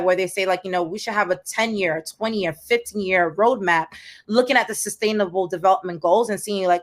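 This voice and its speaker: A young woman with an American accent, speaking energetically as a panelist on a video call. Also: medium pitch. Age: young adult. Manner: energetic, emphatic, repeats words.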